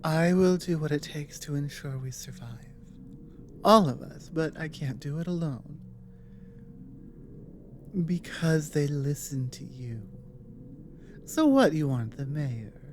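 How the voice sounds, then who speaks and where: A woman playing an earnest, resolute female dragon ally in an ASMR roleplay, speaking in halting, intimate phrases with pauses. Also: low-pitched, a little hiss or hum. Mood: determined, then earnest, encouraging.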